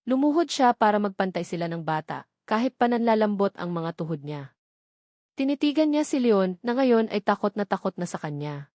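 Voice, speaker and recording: A woman with a Filipino accent narrating a dramatic story in an emotive, suspenseful way with deliberate phrasing. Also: mid-range voice, very animated, a little mellow, no room sound, studio quality.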